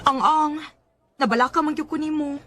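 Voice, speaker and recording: A woman's voice: high voice, steady tone, normal speed, fairly bright tone. A Filipino woman with a Cebuano accent acting a caring, girlish young sister in a radio drama. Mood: concerned.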